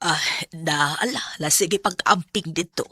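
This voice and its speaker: An actress with a Visayan Filipino accent playing a husky, exasperated mother in a radio drama. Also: sits low, moderate pace, heavy background noise, natural voice. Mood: resigned. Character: scolding, exasperated mother of a grown daughter. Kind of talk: Cebuano radio drama acting.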